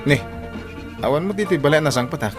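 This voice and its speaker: An actor playing a hardworking, hesitant tricycle driver hurt by betrayal, with a Filipino Ilocano accent, in an emotional radio drama. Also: male voice, on the high side, animated, fast talker, over music, natural voice. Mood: hurt, angry.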